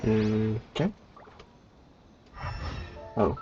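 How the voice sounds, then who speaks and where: A man's voice: fairly low pitch, drawn-out words. A man from Canada streaming a game, chatting casually and reading dialogue aloud. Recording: dull mic with game music behind. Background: game music.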